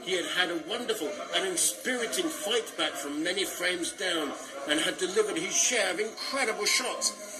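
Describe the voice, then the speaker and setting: A man's voice: high-pitched, animated, moderate pace, poor audio. Man reading fiction, music behind. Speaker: author reading own story. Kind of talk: literary reading aloud.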